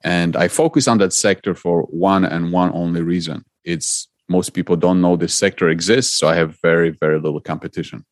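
Low-voiced man. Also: steady tone, a little fast, hardly any pauses, no room sound, clean studio sound. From Poland. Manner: earnest, explanatory, some repeated starts. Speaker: guest investor. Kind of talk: interview answers.

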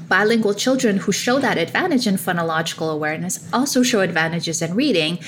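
A woman's voice: fairly low pitch, fairly bright tone, slight background noise. A woman researcher answering interview questions. She explains things fluently and often opens points with "now" and small fillers.

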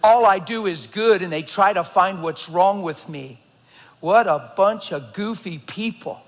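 Man, 50s, pastor; high-pitched, intense sermon voice with a long reverb. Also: a little slow. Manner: builds from low, quiet delivery to shouting intensity.

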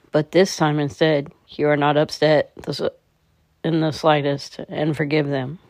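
A woman leading a guided meditation in a calm, measured, soothing manner. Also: sits low, dry recording.

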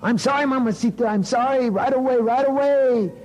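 A man's voice: very high-pitched, a little fast, background noise, pitched above the performer's own voice. A man voicing an earnest little boy, with a New Mexican accent. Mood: apologetic. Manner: pleading, earnest. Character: young earnest boy, eager to please.

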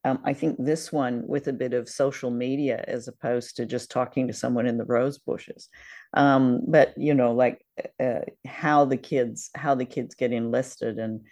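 A woman's voice: extremely low pitch, steady tone, a little slow, a little mellow. A woman chats as the co-host of a podcast. Her delivery is casual and conversational, as she works out her thoughts while speaking. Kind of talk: casual discussion of a radio drama.

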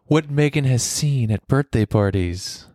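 A man with a bassy voice co-hosting a casual podcast and joking around. His pitch swings a lot as he talks. The recording is dry and close. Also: measured pace, dry recording. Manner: joking, goofy, animated. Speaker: podcast co-host. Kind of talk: casual chat.

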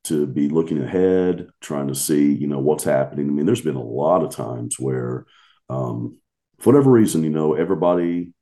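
A man co-hosts a podcast in relaxed, easygoing chat, often hesitating and chuckling as he talks. His voice is very deep. The tone is dark and mellow. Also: moderate pace. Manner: relaxed, laughs easily, hesitant. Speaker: podcast co-host. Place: remote recording.

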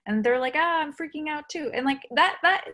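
A woman's voice: high voice, fairly quick, sharp tone. A woman being interviewed, talking earnestly and reflectively with frequent fillers. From India. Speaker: guest. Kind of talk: conversational interview.

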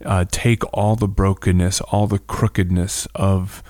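A man hosting an informal devotional reflection, speaking in a halting, thoughtful way with frequent pauses. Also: deep voice, little pitch movement, a little mellow, no echo, clean studio sound. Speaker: host giving a reflection.

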